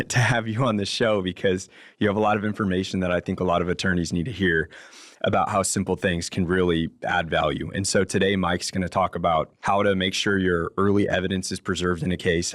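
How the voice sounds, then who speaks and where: A man's voice: deep voice, no room sound, clean studio sound. A man hosting a legal podcast, introducing a guest and laying out the episode in a friendly, explanatory way. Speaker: podcast host, attorney. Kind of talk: podcast interview.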